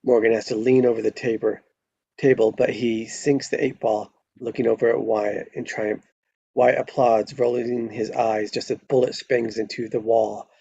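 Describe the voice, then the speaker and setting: A man's voice: medium pitch, level tone, normal speed, gravelly, dark tone, slightly rough, no echo, lo-fi sound. A man narrating a screenplay at a live table read, stumbling over words now and then and correcting himself.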